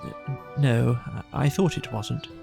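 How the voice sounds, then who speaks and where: A woman voices a gentle, slow-witted storybook bear. The talk is unhurried, humble and dreamy, halting softly as the thought forms, with a little creak. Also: extremely low pitch, over music, voice pushed down, exaggerated voice. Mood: bashful. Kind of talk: children's storybook character voice.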